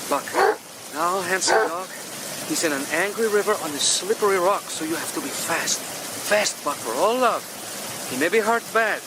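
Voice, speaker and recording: Excitable, high-voiced gold prospector. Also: male voice, moderate pace. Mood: urgent, pleading. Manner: exclamatory, colourful, animated. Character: excitable, dreamy gold prospector, fond of dog and partner. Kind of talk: radio drama acting. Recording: noisy outdoor river effects behind.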